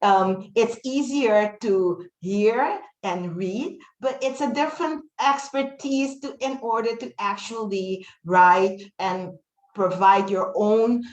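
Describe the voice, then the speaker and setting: A woman in her fifties with Papiamento as her first language, speaking earnestly on a webinar panel with frequent pauses. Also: mid-range voice, echoey room, low-quality recording. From Dutch Caribbean. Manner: earnest, fluent, many "um" pauses. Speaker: webinar panelist, teacher trainer.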